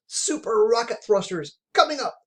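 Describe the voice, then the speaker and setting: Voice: medium pitch, animated, moderate pace, slightly rough, voice pushed up. A storybook reader voicing a mischievous, cocky boy inventor who boasts as a villain. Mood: boastful. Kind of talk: children's storybook read-aloud.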